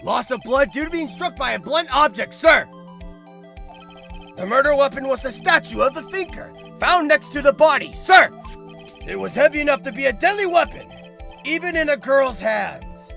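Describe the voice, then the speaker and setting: An eager, blustery detective, played by a man for a courtroom game fan dub. His high voice is gravelly and bright, barking out deferential answers and getting flustered easily. Faint music plays behind him. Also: animated, moderate pace, heavily acted. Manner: barking, deferential, stammering when challenged.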